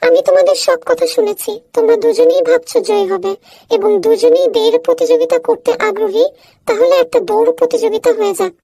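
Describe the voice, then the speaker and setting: Tiny, extremely high creaky cartoon referee. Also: female voice, fairly even intonation, a little fast, mellow, dry recording. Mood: deciding. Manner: instructing, announcing. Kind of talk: children's cartoon voice acting.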